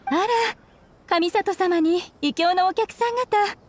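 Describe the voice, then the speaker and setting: A woman's voice: very high-pitched, slow. A woman voicing a polite, welcoming young female shopkeeper in scripted game dialogue. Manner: polite customer-service speech. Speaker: game voice actor. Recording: studio voice over game music.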